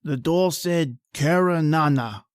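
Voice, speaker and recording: Wry, higher-voiced street painter, acted by a man. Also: slow, clear, resonant tone, no room sound, studio quality. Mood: uneasy. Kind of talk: old-time radio drama acting.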